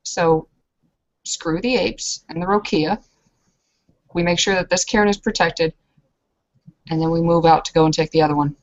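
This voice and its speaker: A woman speaking in character in a tabletop roleplaying game, with deliberate, affectedly humble phrasing, on dull lo-fi audio. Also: on the low side. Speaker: tabletop role-player.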